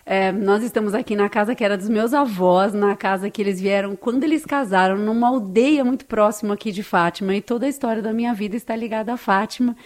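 A woman's voice: medium pitch, fast talker, few pauses, a little mellow. Brazilian lady being interviewed, brazilian accent, chatty and heartfelt telling personal stories. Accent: Brazilian Portuguese. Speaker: interview guest. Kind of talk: conversational personal storytelling.

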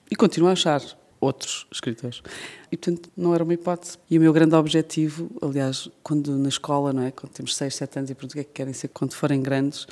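A woman's voice: low voice, warm tone. Woman, guest writer, reflective and playful, speaks on stage. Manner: thoughtful, playful, laughs often. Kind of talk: reflective conversation. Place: cultural centre stage before an audience.

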